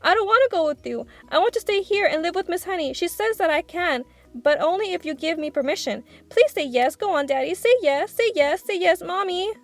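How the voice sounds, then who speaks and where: A woman's voice: very high-pitched, lively intonation, brisk, pitched above the performer's own voice. A woman voicing a passionate, headstrong small schoolgirl in a storybook, with urgent, pleading delivery. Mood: begging.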